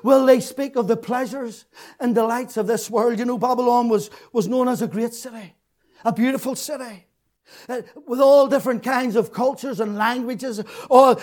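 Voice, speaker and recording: A man preaching an impassioned sermon, repeating his phrases, swinging from shouted peaks to hushed, tearful lows. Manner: fervent, repetitive, rising to shouts then dropping low.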